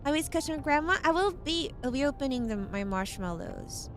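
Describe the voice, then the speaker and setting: A woman VTuber with a hoarse voice, chatting casually with her stream, rambling and giggling often. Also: high-pitched, expressive, moderate pace, faint music behind.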